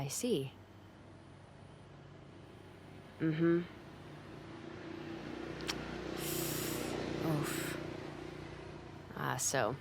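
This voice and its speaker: A woman's voice: low voice, slight background noise. A woman playing a tough but secretly caring delinquent girl in an ASMR roleplay, talking bluntly and teasingly to the listener. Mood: gruff concern. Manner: blunt, teasing, streetwise, with hesitant softening.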